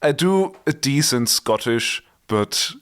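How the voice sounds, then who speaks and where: A man with a German accent, co-hosting a casual book chat. His pitch swings widely as he talks, and he delivers dry jokes and laughs often. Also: lively intonation, takes it slowly, fairly bright tone, clear, resonant tone. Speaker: podcast co-host.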